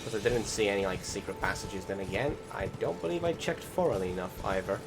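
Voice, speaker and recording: A man's voice: fairly low pitch, fast talker. A man giving casual, rambling voice-over commentary on a video game, often hesitating and searching for words. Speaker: gaming channel host. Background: music behind the voice.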